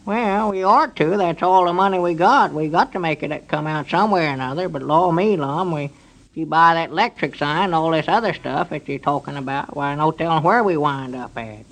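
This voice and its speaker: High-voiced fretful old Southern countryman. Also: a little fast, a bit bright, rough recording. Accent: rural Southern American. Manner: folksy, worrying, complaining. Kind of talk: 1930s radio comedy acting. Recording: 1930s radio broadcast recording.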